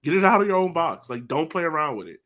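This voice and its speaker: A man hosting a soccer podcast, speaking loosely with lots of "like" and quick restarts. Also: fairly high pitch, sing-song, a bit bright. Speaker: podcast host. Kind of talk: casual soccer podcast chat.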